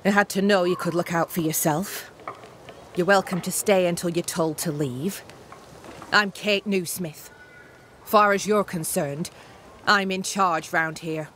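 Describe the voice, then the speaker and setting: An actress playing a tough, blunt frontier camp leader in a video game, speaking curtly. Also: female voice, on the low side, fairly even intonation, unhurried, fairly bright tone, slight background noise. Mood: stern, matter-of-fact. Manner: curt, commanding. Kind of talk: video game cutscene acting.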